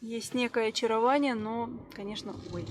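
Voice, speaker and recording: High-voiced woman chatting on a travel vlog. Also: steady tone, normal speed. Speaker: travel vlogger. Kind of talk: casual travel vlog chat.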